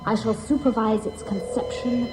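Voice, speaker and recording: Actress as echoing, megalomaniac computer. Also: female voice, on the high side, fairly even intonation, moderate pace, close to an everyday voice. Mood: coolly grandiose. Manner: composed, formal, pompous. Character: calm, megalomaniac female ship's computer plotting to rule life. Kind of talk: science-fiction radio acting. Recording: echoing radio drama with music.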